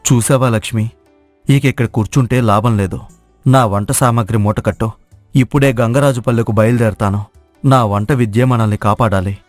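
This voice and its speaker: A man's voice: medium pitch, steady tone, normal speed, dry recording, lightly acted. A man playing a humble, kind-hearted village cook in a moral story, speaking earnestly and plainly. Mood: weary, determined. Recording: dry studio voice over music.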